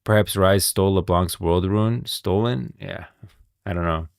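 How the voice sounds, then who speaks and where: A man with a low voice talks as a game streamer giving live lore commentary. His delivery is casual and rambling, often repeating itself as he thinks aloud. The sound is dry and free of room echo. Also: a little slow, creaky, clean tone.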